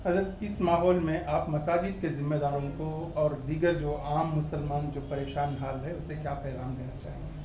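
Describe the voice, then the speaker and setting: A man's voice: a little high, fairly even intonation, moderate pace, hardly any pauses, warm tone. A man putting formal, respectful questions as a news interviewer. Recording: echoey, dull, noisy room recording.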